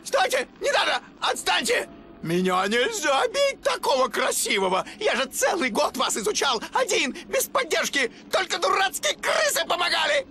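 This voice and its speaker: A man's voice: huge pitch swings, normal speed, faint music behind, full character voice. An actor playing a vain, deranged villain's henchman in a cartoon game, whiny and boastful, with manic shrieking delivery. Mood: panicked, shrill. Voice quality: creaky, shrill. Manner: manic, boastful, swinging into shouts. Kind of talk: cartoon video game voice acting.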